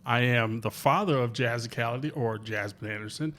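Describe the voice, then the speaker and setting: Father guest, mid-pitched male voice, playful jokester, hesitant delivery. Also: fairly quick, fairly bright tone. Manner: playful, joking, hesitant with fillers. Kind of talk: casual interview chat.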